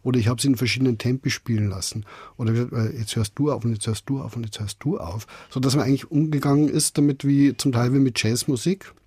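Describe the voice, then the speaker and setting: A man's voice: mid-range voice, quick pace, darkish tone, breathy edge, no echo, studio quality. A man being interviewed as a radio drama director, speaking spontaneously and searching for words with frequent repetitions.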